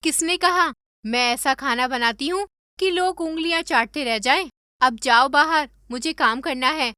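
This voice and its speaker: A woman voicing a brash, cheeky rich young wife, blunt and sassy, in an animated moral story. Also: sits high, measured pace, dry recording, studio quality.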